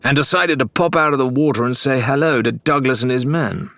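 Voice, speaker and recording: A man's voice: mid-range voice, a little fast, fairly bright tone, no echo, voice pushed up, lightly acted. A man voicing a sceptical, scornful, greedy pirate in an audiobook, with sarcastic delivery. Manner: sarcastic, sneering.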